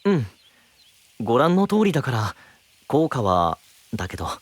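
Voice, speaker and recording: A man's voice: medium pitch, very animated, normal speed, slight background noise, natural voice. A man playing a mild, easygoing young knight, modest in manner, in an anime-style voice drama. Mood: mild, uncertain. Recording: music under the voice.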